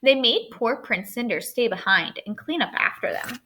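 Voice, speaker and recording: Bright-voiced woman teaching a lesson. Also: a little high, animated, normal speed, a little hiss or hum. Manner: instructional, addresses listeners directly with questions. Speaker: elementary school writing teacher. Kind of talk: remote lesson with storybook read-aloud.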